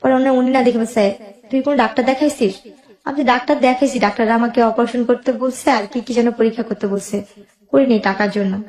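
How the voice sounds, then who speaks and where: A woman's voice: fairly high pitch, fairly even intonation, fast talker, a little mellow, reverberant. A woman with a Bangladeshi accent tells her own life story as a guest, emotionally, quoting both sides of conversations as she goes.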